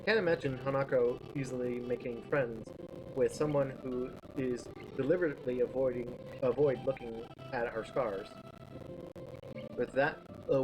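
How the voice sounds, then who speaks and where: A man narrating a first-person story aloud, reading haltingly with small stumbles. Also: medium pitch. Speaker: streamer reading a visual novel. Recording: clearly noisy background.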